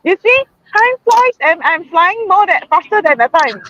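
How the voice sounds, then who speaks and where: High-pitched Singaporean woman hosting a live stream. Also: sing-song, moderate pace, pushed and bright, dry recording, lo-fi sound. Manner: chatty and laughing, with many fillers and restarts. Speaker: host of a group live stream. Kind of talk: casual live-stream chat. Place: out in a busy shopping mall.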